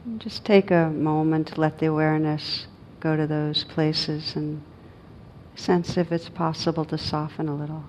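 Low, even-voiced woman guiding meditation. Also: slight background noise, low-quality recording. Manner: gentle, unhurried, with long pauses. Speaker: meditation teacher. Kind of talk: guided meditation.

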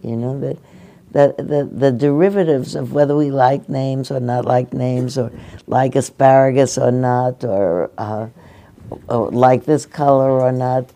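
An older woman giving a meditation talk, speaking gently and haltingly as she answers questions from her audience. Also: a bass voice, fairly even intonation. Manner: gentle, halting, restarts often. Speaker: meditation teacher. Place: meditation hall.

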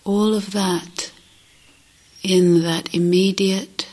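A woman meditation teacher with a breathy, husky voice often near a whisper, gently guiding a meditation with long pauses. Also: medium pitch, steady tone. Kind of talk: guided meditation.

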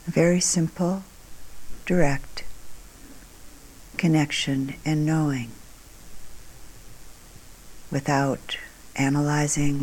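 A woman meditation teacher guiding a meditation calmly and unhurriedly, in short phrases with long pauses, her voice soft and breathy at phrase ends. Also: a bass voice, slight background noise, low-quality recording. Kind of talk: guided meditation.

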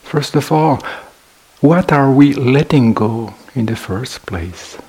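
Slow, husky male meditation teacher. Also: mid-range voice, expressive, a little mellow. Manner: measured, pausing between short phrases. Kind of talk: guided meditation talk.

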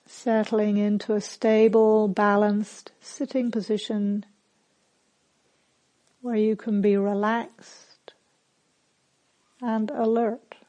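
A woman meditation teacher leading a guided meditation with gentle, unhurried instructions and pauses between phrases. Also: mid-range voice, flat delivery, poor audio.

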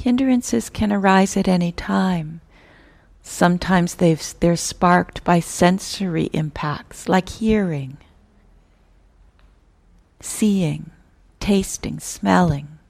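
A woman teaching a guided meditation in a measured, gentle manner, with long pauses between phrases. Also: lowish voice, vocal fry.

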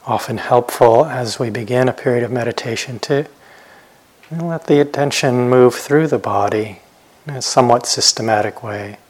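A man guiding a meditation as a teacher, speaking gently in short, unhurried phrases with long pauses. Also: medium pitch, husky. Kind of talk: guided meditation instruction.

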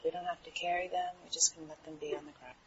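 A woman meditation teacher guiding a relaxation in a soothing, unhurried manner with trailing pauses. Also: low-pitched, little pitch movement. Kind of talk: guided meditation. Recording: dull, muffled recording with clear background noise.

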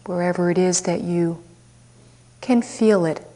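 A woman teacher leading a guided meditation, speaking softly and unhurriedly with long pauses. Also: lowish voice, steady tone.

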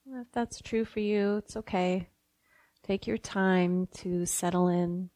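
Female meditation guide: gentle, unhurried, flat-pitched delivery in a dry, close sound. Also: no echo. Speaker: meditation teacher.

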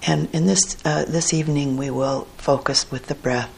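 A woman teaching a guided meditation in a gentle, measured manner with pauses between short phrases and a low, husky voice. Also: steady tone, slight background noise.

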